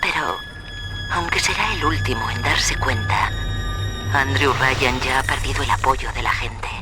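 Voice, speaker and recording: An actress playing a calm, cold visionary cult leader in a dubbed video game, measured and preaching. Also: female voice, rumbling low, over loud music.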